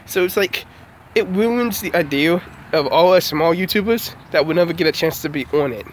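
A young man in his mid-twenties vents into a phone held at arm's length outside a house, his voice sitting a little high for a man. He sounds frustrated and dejected, circling back over the same complaints. Faint street noise hums behind him. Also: expressive, moderate pace. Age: 20s. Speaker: small vlogger. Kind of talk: casual vlog rant. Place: outdoors beside a house.

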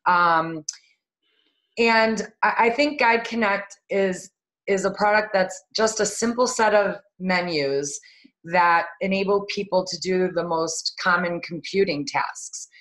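A woman's voice: medium pitch, a bit bright, poor audio. A woman presenting a product webinar on a video call, chatty with frequent ums and uhs. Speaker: marketing manager presenting a webinar.

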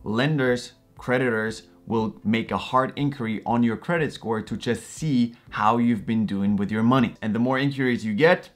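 A man's voice: mid-range voice, normal speed, hardly any pauses, faint music behind. Austrian-born man giving earnest money advice. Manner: earnest, explanatory, gestures a lot while talking. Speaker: finance YouTuber. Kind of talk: direct-to-camera advice talk. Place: dim living room with couch and lamps.

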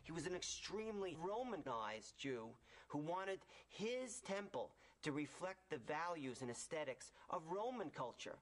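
A man's voice: on the high side, sing-song, measured pace, few pauses. A man, an archaeologist, explaining his finds on location with enthusiasm, thinking aloud and restarting his sentences.

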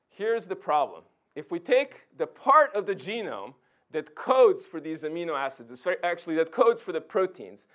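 A man's voice: fairly high pitch, a bit bright. A middle-aged man from Serbia gives an informal science lecture, conversationally checking in with his listeners as he explains. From Serbia, former Yugoslavia. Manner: conversational, checks in with "okay" and "right". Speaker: physics professor giving a public lecture. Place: indoor lecture room, talking beside a projection screen.

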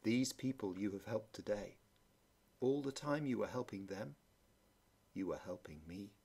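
A man voicing a calm, loving divine spirit in a storybook. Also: on the low side, unhurried, creaky, husky, full character voice. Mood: solemn. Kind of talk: storybook dialogue reading.

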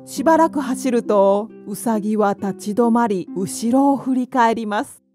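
A woman's voice: fairly high pitch, measured pace, mellow. A woman narrator reading a scripted children's fairy tale with careful storytelling phrasing. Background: music.

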